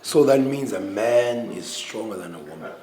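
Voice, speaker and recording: Low-voiced young man, halting and earnest. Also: expressive, mellow, slight background noise. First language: Xhosa. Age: 20s. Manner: earnest, halting with many restarts. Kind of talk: casual panel discussion.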